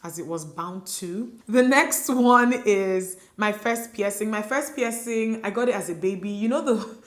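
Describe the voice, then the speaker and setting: A woman's voice: medium pitch, a little slow, roomy sound. A young Nigerian woman whose first language is Igbo, chatting casually to camera with lots of fillers. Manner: chatty, filler-heavy, confiding. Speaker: beauty vlogger.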